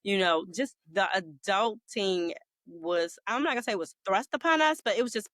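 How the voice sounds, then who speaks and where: A woman's voice: medium pitch, lively intonation, a bit bright, no echo, clean studio sound. Earnest woman in her fifties. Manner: earnest, thoughtful, measured. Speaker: guest. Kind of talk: casual podcast chat.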